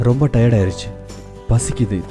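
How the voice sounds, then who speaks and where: A man's voice: mid-range voice, lively intonation, unhurried, natural voice. A man voicing a teasing, easygoing young husband in a cartoon. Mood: tired. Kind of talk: Tamil cartoon dub. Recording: music bed behind.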